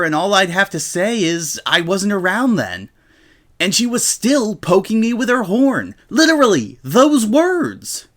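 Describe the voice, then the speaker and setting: A brash young female pegasus from a cartoon tale, played by a man. The voice is pitched up and blunt, with a casual, defensive edge as it argues its side. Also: a bit bright, exaggerated voice.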